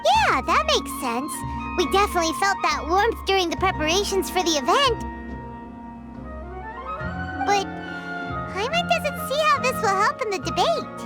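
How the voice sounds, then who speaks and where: A woman voicing a small, perky, childlike fairy companion in an animated video game. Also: very high voice, moderate pace, long pauses, over music. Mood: curious. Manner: speaks of herself in third person, exclamatory.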